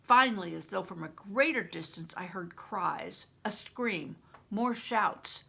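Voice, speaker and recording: An older woman reads a children's novel aloud in a bright, forceful voice with noticeable creak. Her delivery is expressive and emphatic, like a seasoned storyteller. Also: mid-range voice, a little slow. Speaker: retired reading teacher, storyteller. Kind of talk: expressive audiobook-style reading of a children's novel.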